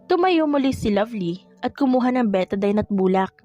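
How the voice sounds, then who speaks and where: A woman's voice: a little high, lively intonation, a little fast, dark tone, muffled recording. A woman reading scripted romance fiction as an audiobook narrator. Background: music.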